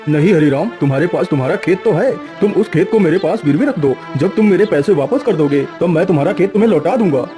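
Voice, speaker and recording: A man's voice: on the high side, animated, quick pace, few pauses, over music, natural voice. A man voicing a crafty, stone-hearted cartoon moneylender with a condescending, calculating manner. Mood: firm, calculating.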